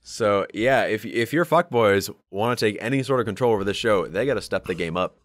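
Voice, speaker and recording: A man's voice: lowish voice, animated, a little fast, dry recording. A man co-hosting a podcast in casual, joking, sweary banter. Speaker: podcast co-host. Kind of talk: casual banter about tabletop wargaming.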